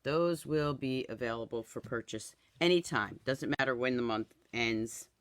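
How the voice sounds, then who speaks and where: A woman in her fifties, hoarse and raspy from a cold, chatting through a live craft demo and greeting viewers by name in a home craft room. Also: very deep voice, moderate pace. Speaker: craft product demonstrator. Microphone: camera in front, then overhead camera.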